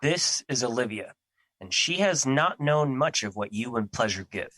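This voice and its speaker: A man's voice: medium pitch, animated, moderate pace, bright, forceful tone, no echo, poor audio. A man playing a stern, earnest embodiment of an emotion in an audio drama, speaking formally and easily irritated. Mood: earnest, insistent. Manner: formal, serious, stiff phrasing.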